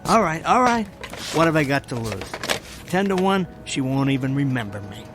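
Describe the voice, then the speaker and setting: A man's voice: fairly high pitch, very animated, moderate pace, over music. A man acting in a radio drama as an anxious, self-pitying younger brother and small-time gambler, agitated and slangy. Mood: defeated, urgent.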